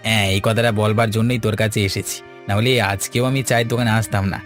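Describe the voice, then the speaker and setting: A man voicing an earnest adult villager in a Bengali folk-tale cartoon, speaking deliberately. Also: on the low side, flat delivery, faint music behind, close to an everyday voice. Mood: concerned. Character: earnest village fisherman and his worried neighbours, adult men.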